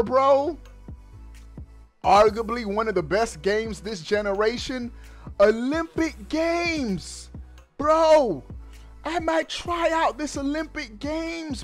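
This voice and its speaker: A man in his thirties giving excited, slangy gaming news commentary into a headset mic, emphatic and drawing out his words. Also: very high voice. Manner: emphatic, animated delivery with drawn-out words, slangy. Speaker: gaming YouTuber. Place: indoors at a streaming setup.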